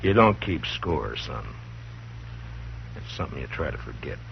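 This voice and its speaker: An actor playing a stern, steady frontier marshal, firm and commanding, in a 1950s radio drama. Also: male voice, a bass voice, normal speed, creaky, exaggerated voice. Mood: amused. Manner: firm and commanding, with dry chuckles. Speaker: lead. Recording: muffled old radio broadcast, faint hiss.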